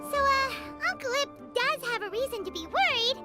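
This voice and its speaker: A perky, childlike fairy sidekick from a video game. The voice is squeaky and piercingly high. It swoops around in chatty excitement, with loud music playing behind. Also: female voice, normal speed. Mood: chatty, helpful. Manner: perky, talks of herself in third person.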